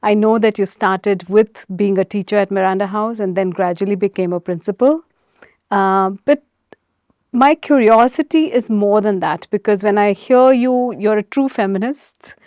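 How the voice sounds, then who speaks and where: A woman's voice: medium pitch, moderate pace, darkish tone, clean tone, dry recording, studio quality. A woman hosting a podcast, speaking in an effusive, enthusiastic way. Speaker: podcast host. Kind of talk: podcast interview.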